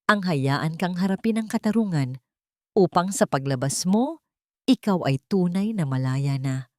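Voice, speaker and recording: An elderly mother of stern dignity , played by a woman narrator. Her voice is low and dry, close in the ear. She speaks with measured solemnity in an audio story, forgiving yet unbending. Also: lively intonation, dark tone, dry recording. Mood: solemn, firm. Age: older.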